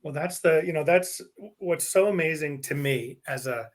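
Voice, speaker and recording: A man's voice: fairly high pitch, moderate pace. Chatty New male podcast host. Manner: chatty, joking, repeats words when starting sentences. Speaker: podcast host, benefits advisor. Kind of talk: casual interview chat.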